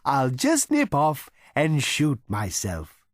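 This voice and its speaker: A man voicing a large, contented talking cow in a storybook reading, slow, drawn-out and ingratiatingly polite like a waiter. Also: wildly swooping pitch, dry recording, clean studio sound, full character voice. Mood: politely persuasive. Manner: slow, drawn-out, obsequious lowing.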